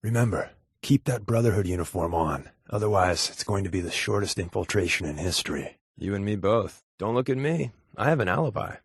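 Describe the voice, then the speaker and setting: A man's voice: lowish voice, expressive, brisk, slightly rough, no room sound, studio quality. A man playing a wry, wisecracking undercover agent in a video game, with a casual, sardonic delivery. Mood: wry, relaxed. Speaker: voice actor playing a game companion. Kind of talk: video game character dialogue.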